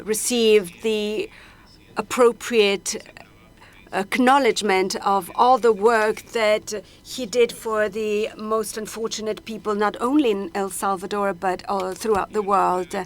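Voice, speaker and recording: A woman interpreting a panel discussion simultaneously, halting often with hesitations and restarts as she renders each speaker. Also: mid-range voice. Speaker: conference interpreter.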